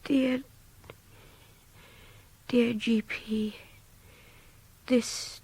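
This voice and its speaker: Sick young captive girl in radio play, really slow breathy cracked whisper, high, desperate n nearly crying. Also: flat delivery, darkish tone, no room sound, heavily acted. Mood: desperate pleading. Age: young adult. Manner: very slow, fragmented, pleading. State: weak, distressed, near tears. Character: desperate young captive woman, gravely ill, pleading. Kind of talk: radio drama monologue.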